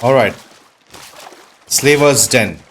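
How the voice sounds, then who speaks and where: A man's voice: mid-range voice, takes it slowly, a bit bright, a little hiss or hum. A man giving casual commentary as he plays through a video game, talking through it in an everyday way. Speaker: gamer narrating a playthrough.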